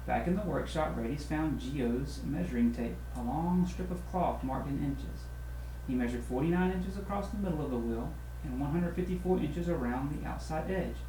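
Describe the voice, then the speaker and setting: A man narrates a children's storybook in the role of a teacher. His tone is soft-edged and mellow. The recording has clear room echo and noise behind it. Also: on the high side, moderate pace. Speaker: teacher reading a storybook aloud. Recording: roomy echo with noisy hiss.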